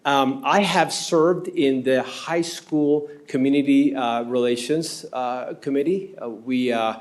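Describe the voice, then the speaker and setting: Council candidate, 50s, Argentine-accented man at a podium mic, earnest, pauses often. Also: medium pitch. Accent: Argentine Spanish. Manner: earnest, halting with frequent uh fillers. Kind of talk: answering an interview question at a public meeting. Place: city council chamber. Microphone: podium microphone.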